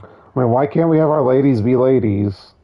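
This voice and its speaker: A hoarse man losing his voice, giving casual, opinionated, digressive commentary on a video game. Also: mid-range voice, moderate pace, narrow, tinny audio. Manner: opinionated, digressive, many restarts.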